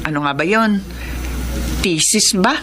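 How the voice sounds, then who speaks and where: A woman's voice: medium pitch, a little slow, noisy background, lightly acted. A woman playing a caring, apologetic middle-aged mother from the province in a Filipino radio drama. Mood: concerned.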